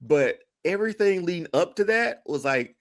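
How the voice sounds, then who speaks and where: A man with a lively, slightly high voice chats as a podcast panelist. His delivery is informal, and he repeats phrases to drive a point home. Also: normal speed. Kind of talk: casual chat, debating games and movies.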